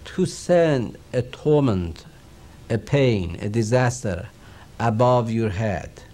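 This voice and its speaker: A middle-aged man with a Persian accent teaches and explains scripture in a slow, measured, reverent manner. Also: medium pitch, expressive, warm tone. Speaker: Quran teacher. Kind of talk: recorded religious lecture.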